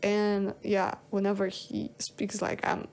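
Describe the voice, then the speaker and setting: A woman's voice: medium pitch, completely flat delivery, slow, creaky, a little mellow. A young woman from Kazakhstan, a singer-songwriter, talking casually on her own show, with lots of fillers and restarts. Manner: rambling, hesitant, many fillers and restarts. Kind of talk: casual solo monologue.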